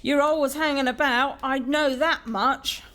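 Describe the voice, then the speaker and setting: A woman playing a gruff, sharp-tongued housekeeper in an audio drama, blunt and snappish. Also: high voice, steady tone, a little slow. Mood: grumpy, scornful.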